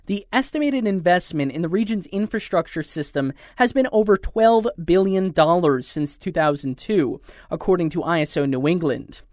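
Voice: medium pitch, expressive, moderate pace, darkish tone, dry recording. Radio reporter reading a dry news story.

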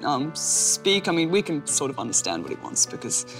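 Chatty young woman, soft music under. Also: low-pitched, very animated, normal speed. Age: 20s. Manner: chatty, self-deprecating, many fillers and restarts. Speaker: interview guest, athlete. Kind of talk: casual interview answers.

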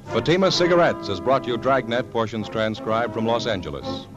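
A man's voice: mid-range voice, animated, moderate pace. An American man announcing formally on a 1950s radio show, in a dull old recording. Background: loud brass music.

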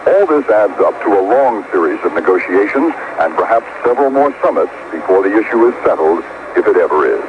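A man's voice: low-pitched, sing-song, fairly quick. A man giving a scripted news report as a foreign correspondent over a phone-like line. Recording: tinny phone-like line with clear noise.

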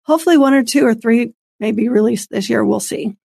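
A woman's voice: on the high side, a little fast, gravelly, dark tone, slightly rough, no echo, studio quality. A woman in her fifties giving an earnest, devout personal testimony as an interview guest. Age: 50s. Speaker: guest, author and homeschooling mother.

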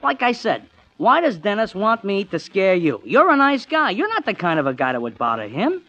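A man's voice: high voice, huge pitch swings, moderate pace, fairly bright tone, no echo, heavily acted. A man playing a good-natured, blunt, earnest ex-army driver in a 1940s radio comedy drama. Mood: earnest, friendly. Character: good-natured, plain-spoken male driver, ex-army military policeman. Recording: muffled, dry vintage 1940s radio recording.

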